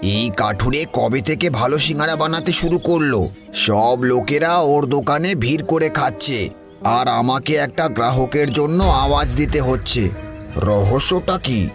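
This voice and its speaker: A jealous village shopkeeper acted by a man grumbles resentfully in a mid-pitched voice. It is cartoon dialogue with music clearly playing behind. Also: expressive, measured pace. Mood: jealous anger. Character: jealous, grumbling male rival samosa seller.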